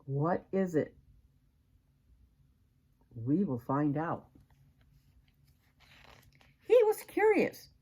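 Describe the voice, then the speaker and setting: A woman's voice: deep voice, wildly swooping pitch, very slow, long pauses, mellow, breathy edge. An older woman reading a children's picture book aloud expressively, as a grandmother would, with questions to the young listener.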